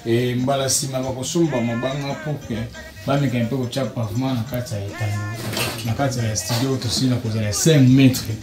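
A man speaks as a field reporter, recorded outdoors on open land. He talks in an animated way at an ordinary pitch, with music running clearly behind him. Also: fairly quick. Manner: animated, switching between Lingala and French.

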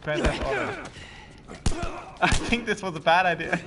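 A man's voice: sits high, expressive, measured pace. A man chatting casually while playing a video game, flustered and self-deprecating, swearing now and then. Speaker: student radio host playing a video game. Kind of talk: casual gameplay chat. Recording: loud game noise and gunfire behind.

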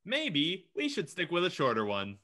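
Man playing animated, bright 1940s radio pitchman. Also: normal speed, exaggerated voice. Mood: eager salesman. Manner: punchy, animated sales patter. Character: eager folksy 1940s garage proprietor pitching his business.

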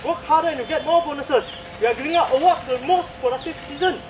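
Casting: young man as earnest animal comrade, very high voice, amateur skit, roomy echo. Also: fast talker. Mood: idealistic, encouraging. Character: preachy farm animal comrade, protesting under accusation. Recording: echoey room, noisy camcorder sound.

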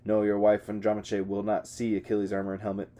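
Brief: a grave old-world god, read by a man, lowish and steady. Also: quick pace, natural voice. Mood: solemn. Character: sorrowful all-powerful male god.

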